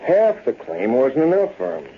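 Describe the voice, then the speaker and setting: A man's voice: mid-range voice, huge pitch swings, normal speed. An actor playing a folksy Western storyteller, an American man narrating an old-time radio drama. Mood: storytelling. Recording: tinny, hissy old-time radio recording.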